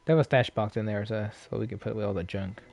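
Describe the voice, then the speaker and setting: A man's voice: lowish voice, rapid-fire, gravelly, a little mellow, dull audio. Casual, sweary male game streamer. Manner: informal, swearing, thinking aloud. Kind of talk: casual live game commentary.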